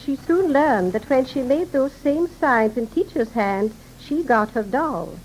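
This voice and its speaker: A woman with a high voice speaks as a guest on a 1930s radio interview. Her delivery is formal, articulate and explanatory. Faint noise sits over the old broadcast recording. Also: animated, unhurried, darkish tone, poor audio.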